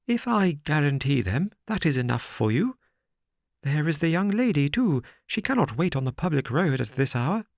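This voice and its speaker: A man voicing a fussy, nervous middle-aged gentleman with a high, fretful way of talking. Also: very animated, moderate pace, gravelly, no room sound, studio quality.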